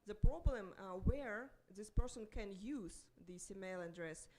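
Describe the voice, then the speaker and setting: A woman's voice: mid-range voice, lively intonation, measured pace, dark tone. A woman speaking on a conference panel, hesitant and repeating words as she searches for phrasing. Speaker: panelist and moderator.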